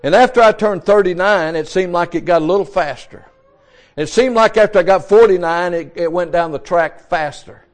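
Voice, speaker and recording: A man in his sixties preaches with a high, light voice. He talks in a folksy way, often repeating himself and restarting words as he builds his points. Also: normal speed. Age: 60s. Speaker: pastor. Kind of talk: sermon.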